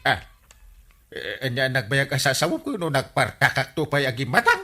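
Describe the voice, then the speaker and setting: A man's voice: mid-range voice, wildly swooping pitch, moderate pace, bright, forceful tone, soft background music, exaggerated voice. A man playing a bumbling, forgetful village captain in an Ilocano comedy radio drama, stammering and whining out excuses with an Ilocano accent. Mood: defensive. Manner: stammering, flustered, whiny excuses.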